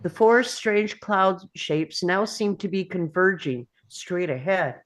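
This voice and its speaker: A woman's voice: on the low side, animated, takes it slowly. A woman narrating scripted stage directions for a screenplay read.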